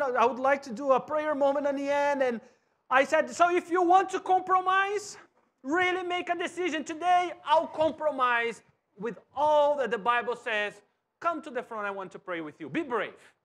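High-voiced Brazilian pastor preaching animatedly. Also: male voice. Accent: Brazilian Portuguese. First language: Portuguese. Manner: animated, wide gestures, swings from soft to loud. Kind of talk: sermon. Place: church stage with cross backdrop and bookshelves.

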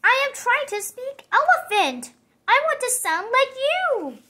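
Storybook piglet, played by a woman: eager, insistent, emphatic, very high and bright. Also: very animated, unhurried, full character voice. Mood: eager, expectant. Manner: exclamatory, emphatic. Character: excitable, insistent young pig, eager to perform.

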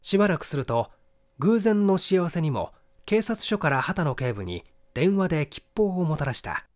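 Guy narrating a mystery novel, voice a bit high, really animated storytelling. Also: moderate pace. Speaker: audiobook reader. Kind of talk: scripted literary narration of a detective story.

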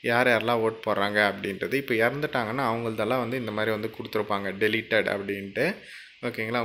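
A man's voice: fairly low pitch, fairly bright tone, a little hiss or hum. A man hosting a casual screen-recorded tutorial, chatty and walking through each step while often checking in with the viewer. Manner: chatty, keeps checking in with "okay?". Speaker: tutorial channel host.

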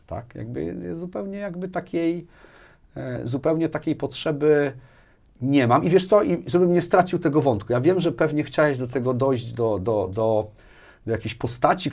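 A man's voice: mid-range voice, vocal fry, darkish tone. A man in his forties speaking as an interview guest in casual conversation, emphatic, often adding little tag questions. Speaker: interview guest, business executive. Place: small indoor studio with armchairs and bookshelves. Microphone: lapel mic.

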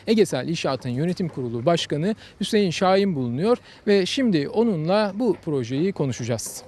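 A man with a high-pitched voice reports on location from a building site. He delivers a presenter's introduction straight to camera. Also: lively intonation, fairly quick, darkish tone. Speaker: field reporter. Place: outdoors at a construction site.

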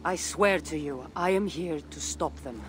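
An actress playing a confident, secretive ancient Greek warrior woman in a video game, with a Greek accent and a measured, teasing manner. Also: deep voice, noisy background. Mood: earnest. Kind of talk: video game cutscene acting. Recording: game audio with music behind. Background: game soundtrack music.

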